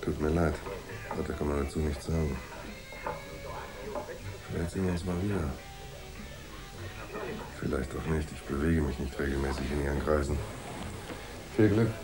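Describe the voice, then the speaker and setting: Very deep-voiced hard-boiled detective. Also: male voice, moderate pace, pauses often, music in the background, poor audio. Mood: reserved. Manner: terse, understated. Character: laconic hard-boiled male private detective narrating his case. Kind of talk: noir radio drama acting and first-person narration.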